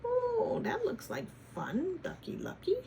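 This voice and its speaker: Expressive, slow-paced woman reading to children. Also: very high voice, creaky, slight background noise. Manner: teacherly, questioning, expressive. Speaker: children's read-aloud host, former teacher. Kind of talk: storybook reading with chatty asides to children.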